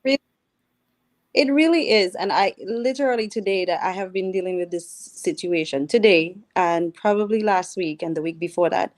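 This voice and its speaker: A woman's voice: fairly low pitch, normal speed. A woman with a Dominican accent, a healthcare worker, speaking earnestly as a guest on a radio talk show and checking in with "right?". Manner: earnest, explains with repeated "right?".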